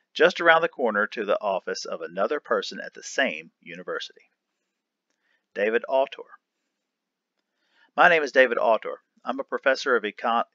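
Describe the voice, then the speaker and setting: A man reading an article aloud for a lesson in a deliberate, emphatic manner. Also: mid-range voice, pushed and bright, no room sound, highs cut off. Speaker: reader of an educational article. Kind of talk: read-aloud of an article transcript, announcing each speaker.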